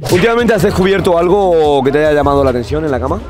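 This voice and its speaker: A man's voice: fairly high pitch, expressive, quick pace, darkish tone. A young man with a Spanish accent from Spain, asking blunt, teasing questions into a handheld mic in an outdoor park at night. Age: young adult. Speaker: street interviewer. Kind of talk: provocative street interview. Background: festival music and crowd.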